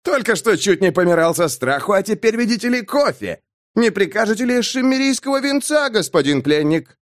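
Mocking military major for an audiobook: high, animated, jeering delivery with a cackle. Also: male voice, measured pace. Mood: scornful amusement. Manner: jeering, theatrical, bursts into cackling laughter. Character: mocking, loud, cackling army major, adult man.

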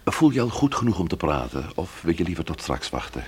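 Casting: protective brother-in-law in radio thriller, low voice, wide swings, faintly noisy old recording. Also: male voice, big pitch swings, fairly quick. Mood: calm, concerned. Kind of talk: Dutch radio thriller serial acting.

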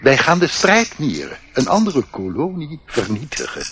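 A proud, warlike old ant in a radio drama, chatty and doddering, forever repeating himself. The voice swings wildly up and down at a middling pitch, with background noise on the recording. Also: male voice, huge pitch swings, moderate pace, fairly bright tone. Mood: self-important. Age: older. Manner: doddering, repeats his words. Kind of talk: radio play acting.

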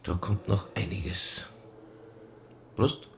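Casting: fat, hard-drinking detective, middle-aged, deep gravelly voice, deadpan. Radio crime drama. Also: male voice, very slow, a little hiss or hum, full character voice. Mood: unbothered. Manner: laconic, deadpan. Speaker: homicide detective.

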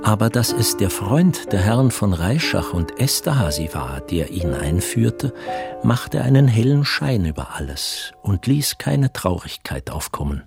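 A man narrating a literary radio drama as a composed, measured storyteller. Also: on the low side, big pitch swings, vocal fry, exaggerated voice. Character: composed third-person storyteller. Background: faint music.

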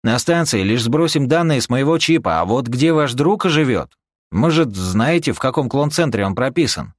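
Casting: man voicing an excitable, talkative ship engineer for an audiobook, raised pitch, very animated, fussy delivery. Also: moderate pace, no echo, studio quality, natural voice. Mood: explaining, urgent. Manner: agitated, wheedling, colloquial.

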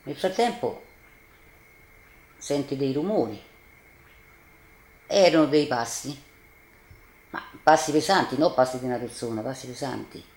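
A man's voice: mid-range voice, measured pace, pauses often, slight background noise. Man recalling memories in an echoey room. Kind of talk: spoken recollection of family memories.

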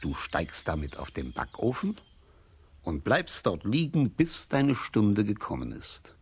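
A man playing a mysterious, solemn old wise man who foretells destiny in a children's radio play, speaking deliberately with a husky voice. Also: fairly low pitch, full character voice. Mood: solemn, instructing. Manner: slow, deliberate, expressive, solemn.

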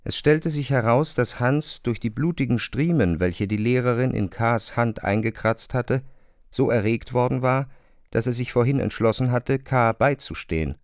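Male radio drama narrator, mid-range voice, measured and exact, no room sound. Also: mid-range voice, clean tone, no room sound, studio quality.

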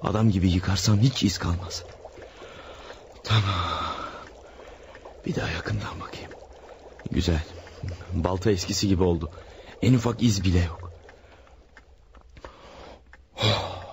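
A gloating family murderer. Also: male voice, low-pitched, unhurried, pauses often. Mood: scheming. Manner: muttering to himself, gloating. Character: cold-blooded man murdering his family. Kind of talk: radio drama acting. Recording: old radio play with faint hiss.

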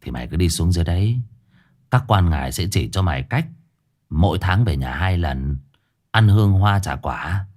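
A man doing a gruff, taunting exorcist. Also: sits low, lively intonation, normal speed, darkish tone, voice pushed down. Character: gruff young male exorcist, mocking. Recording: faint background music.

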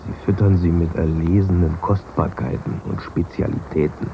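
A deep, creaky male voice comes through clear rain noise. a self-important conspirator keeping watch in a satirical radio drama, delivering everything deadpan and mock-solemn. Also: moderate pace, highs cut off. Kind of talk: satirical radio play dialogue. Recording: loud rain noise behind voice.

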